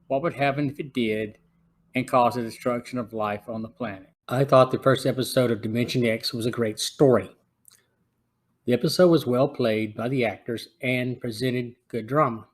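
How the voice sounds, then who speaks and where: A man hosting a podcast, reading a scripted episode review in a plain, even way. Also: medium pitch, husky. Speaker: podcast host.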